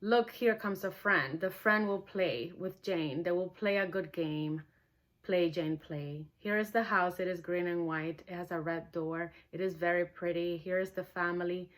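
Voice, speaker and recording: A woman's voice: on the low side, unhurried. A woman whose first language is not English, speaking earnestly and with feeling as the host of a book read-along. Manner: earnest, heartfelt. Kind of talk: warm personal introduction, then reading a novel aloud.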